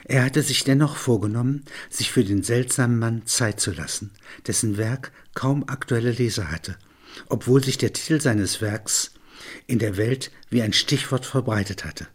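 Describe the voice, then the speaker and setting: A man reading literary prose as a narrator, with measured, deliberate phrasing. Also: mid-range voice, slightly rough.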